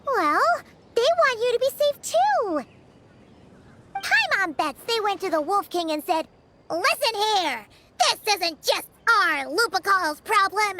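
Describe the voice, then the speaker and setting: A woman playing a tiny, chirpy, childlike fairy companion in a video game, with a squeaky voice and an eager, exclamatory manner. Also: heavy background noise, full character voice.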